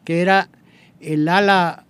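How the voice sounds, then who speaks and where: An older man with a Dominican accent, introducing his guest on a radio show, halting often with fillers and restarts. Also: high voice, fairly even intonation, fairly bright tone, smooth.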